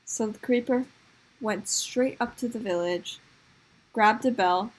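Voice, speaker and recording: A woman's voice: on the high side, unhurried, poor audio. A woman improvising comic stories as a storyteller, with a dramatic, sometimes hesitant delivery. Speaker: storyteller of improvised puppet shows.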